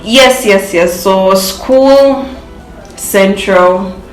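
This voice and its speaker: A woman's voice: medium pitch, drawn-out words. Ugandan woman chatting in an echoey room. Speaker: interview guest. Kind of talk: casual chat.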